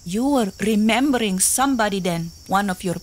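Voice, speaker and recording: A woman's voice: mid-range voice, animated, moderate pace, a little hiss or hum, natural voice. An actress playing a passionate, jealous young island woman in an audio drama, teasing and pleading with her lover.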